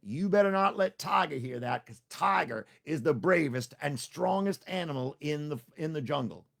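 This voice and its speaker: A man's voice: a little high, normal speed, creaky, pitched above the performer's own voice, full character voice. A man doing a teasing crowd of forest animals. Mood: warning. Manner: teasing, excited. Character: mocking chorus of forest animals.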